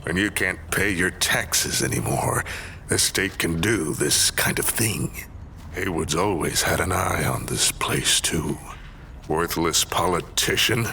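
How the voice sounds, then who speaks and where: A man playing a gruff, jaded older man with a gravelly, deliberate, dry delivery in an audio drama. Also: low-pitched, bright, forceful tone, slight background noise, heavily acted. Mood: weary, resigned.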